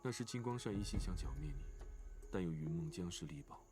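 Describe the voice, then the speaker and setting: An actor playing a reserved, formal cultivator lord in an animated fantasy drama, terse and composed. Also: male voice, low voice, fast talker, over music. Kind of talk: animated drama dub acting.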